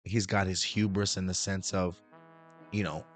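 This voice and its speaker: Guy with a worn-out hoarse voice, real low, rambly review chat, faint music under it. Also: flat delivery, normal speed. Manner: rambling, with fillers and restarts. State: hoarse, recovering from losing his voice. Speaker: show host and reviewer. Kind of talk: casual review talk to camera.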